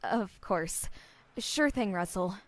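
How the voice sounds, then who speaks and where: A woman's voice: medium pitch, lively intonation, takes it slowly, no room sound. A woman playing a casual young investigator in an audio drama, conversational in manner. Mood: hesitant, let down. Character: Lauren, young woman investigator, deferential but wry. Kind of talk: audio fiction acting.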